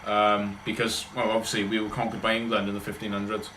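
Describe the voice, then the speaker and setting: A man's voice: on the low side, completely flat delivery, quick pace, bright, forceful tone, a little hiss or hum. Rambling Welsh man, roomy echo. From Wales. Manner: rambling, chatty, talks in loose run-on thoughts. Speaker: livestreamer answering chat. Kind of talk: casual livestream chat.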